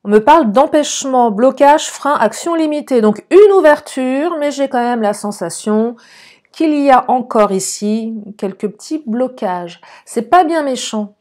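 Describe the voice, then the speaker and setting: Slightly hoarse, higher-pitched woman reading cards. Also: lively intonation, measured pace, darkish tone. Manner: chatty, thinking aloud, addressing viewers directly. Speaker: online love card-reading guide and host. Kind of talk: informal spoken tarot reading to viewers.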